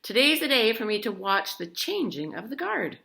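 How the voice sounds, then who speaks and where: A woman voicing a bold, cheery, mischievous old grandma from a storybook, with hearty, brisk exclamations. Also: a little high, sing-song, creaky, sharp tone, voice pushed up, exaggerated voice. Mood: chirpy, determined.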